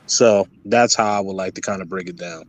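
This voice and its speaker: A man's voice: deep voice, brisk. A man appearing as a guest on a livestream, explaining his views hesitantly with many fillers. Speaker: guest content creator. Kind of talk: casual interview talk.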